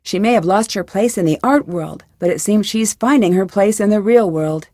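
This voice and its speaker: A woman's voice: mid-range voice, moderate pace, dark tone, no echo, studio quality, close to an everyday voice. A woman voicing a confident teenage girl detective, narrating her letter in a scripted video game style. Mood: upbeat, wrapping up.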